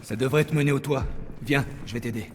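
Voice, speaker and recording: A man's voice: medium pitch, fairly quick, slight background noise, close to an everyday voice. A man acting an emotional, loyal young Soviet squad leader in a dramatic French game dub. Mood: resolved. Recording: loud music underneath.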